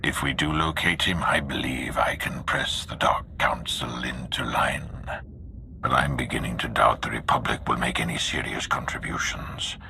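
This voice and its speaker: A man playing a grave, commanding Sith lord in a video game, with a solemn, deliberate and authoritative delivery. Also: fairly even intonation, noisy background. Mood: measured doubt. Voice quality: very deep, gravelly. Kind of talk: video game cutscene acting.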